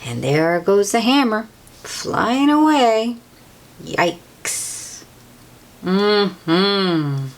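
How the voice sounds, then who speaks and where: A woman's voice: medium pitch, big pitch swings, drawn-out words, slight background noise. A woman reading a children's picture book aloud, with exclamations and chatty asides to young listeners. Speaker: storybook read-aloud host.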